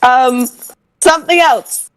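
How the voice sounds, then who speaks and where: A woman with a loud voice chatting casually as a game player over voice chat, self-deprecating and talkative. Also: high voice, takes it slowly. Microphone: online voice chat.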